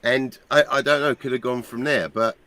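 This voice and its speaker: A man's voice: medium pitch, steady tone, moderate pace, sharp tone, dry recording. A man joking and teasing crudely in casual stream banter. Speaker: guest on a stream.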